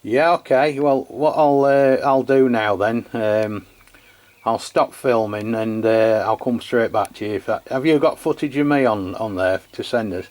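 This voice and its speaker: A man's voice: mid-range voice, measured pace, a little mellow. A man with an English accent chatting casually and informally as a radio hobbyist, in a matter-of-fact way. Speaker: CB radio hobbyist filming a radio test. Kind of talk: casual two-way radio chat.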